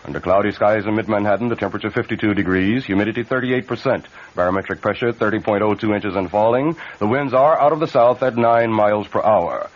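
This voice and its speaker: A man's voice: lowish voice, fast talker, few pauses, lo-fi sound. A man with an American accent reading a scripted radio newscast as the anchor. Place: radio studio. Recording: band-limited vintage 1970s AM radio.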